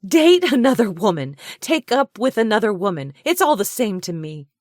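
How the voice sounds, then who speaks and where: A woman voicing a jealous, fiery young woman who hurls sharp, scornful accusations in a raised voice. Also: very animated, moderate pace, dry recording, studio quality, lightly acted. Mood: furious. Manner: sharp, accusing, high-pitched outbursts.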